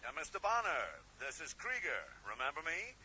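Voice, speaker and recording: An actor playing a brisk male caller in a radio drama, heard through a telephone filter. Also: fairly high pitch, sing-song, pushed and bright, full character voice. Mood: businesslike. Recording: thin telephone line, faint hiss.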